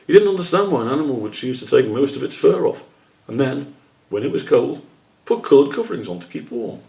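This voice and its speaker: A man's voice: medium pitch, very animated, a little fast, creaky, warm tone. A man reading aloud from his own children's book as a storybook narrator. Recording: roomy echo, distant microphone.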